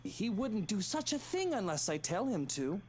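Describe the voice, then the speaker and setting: A man's voice: high-pitched, big pitch swings, moderate pace, a little hiss or hum. A man voicing a greedy, scheming husband in a visual novel game, theatrical, condescending, mock-tender and menacing. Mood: taunting.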